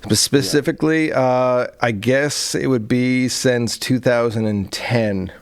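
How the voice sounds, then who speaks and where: Man, relaxed interview guest, joking, hesitant fillers, medium pitch. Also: slow, clean tone. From Ireland and Detroit. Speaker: guest, producer. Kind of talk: casual interview chat. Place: recording studio.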